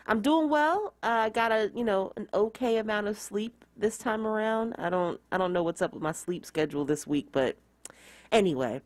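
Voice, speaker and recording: A woman's voice: mid-range voice, no echo. A woman co-hosting a morning radio show, chatting in an easygoing, rambling way about her morning. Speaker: radio co-host. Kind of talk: casual morning radio chat.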